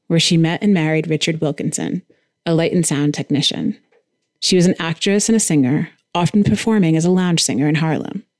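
A woman's voice: on the low side, darkish tone, dry recording, clean studio sound. A woman hosting a podcast, reading a scripted documentary-style narration. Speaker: podcast host.

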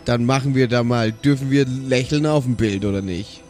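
A man's voice: medium pitch, moderate pace, darkish tone, faint music behind, natural voice. A man playing a cheeky, talkative seafarer with a casual, bantering manner in an improvised tabletop audio play.

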